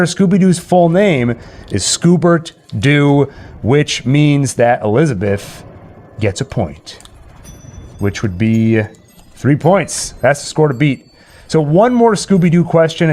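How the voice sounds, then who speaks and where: A man's voice: on the high side, animated, moderate pace, a little mellow. A man hosting a casual livestream quiz, playful and thinking aloud as he goes. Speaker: host and trivia quizmaster.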